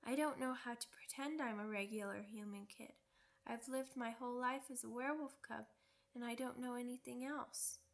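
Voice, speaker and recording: High-pitched childlike little werewolf girl. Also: steady tone, moderate pace, vocal fry. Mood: vulnerable, earnest. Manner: childish wording, pouty, rambling. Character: young werewolf pup girl, cheeky, grumpy, earnest, childlike. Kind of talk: ASMR roleplay audio drama.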